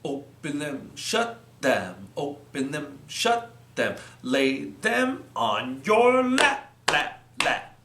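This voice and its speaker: A man's voice: fairly high pitch, very animated, very slow, bright, forceful tone. A man hosting storytime for young children, encouraging and playful, giving simple, repeated instructions. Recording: roomy, echoing sound.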